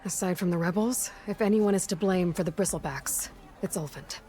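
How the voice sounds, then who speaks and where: A woman's voice: on the low side, brisk, warm tone, faint music behind, close to an everyday voice. An actress playing a determined young woman hunter in a video game, direct and blunt. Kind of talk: video game cutscene acting.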